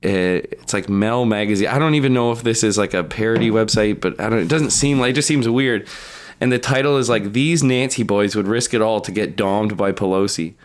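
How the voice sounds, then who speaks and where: A man's voice: fairly low pitch, a little fast. A man in his early thirties chatting casually as a podcast co-host, telling joking anecdotes in slangy, swear-heavy language.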